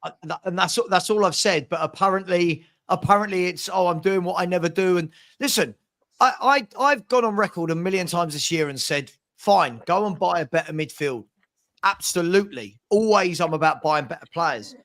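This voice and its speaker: High-voiced man arguing his point. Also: moderate pace, fairly bright tone. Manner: argumentative, repeats his point. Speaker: co-host of a fan podcast. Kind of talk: heated casual debate.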